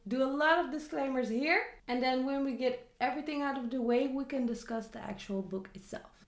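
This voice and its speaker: A woman's voice: a little high, a little mellow. A young woman, chatting casually on camera about a book she read and adding many asides. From Netherlands. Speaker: vlogger. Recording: echoey room.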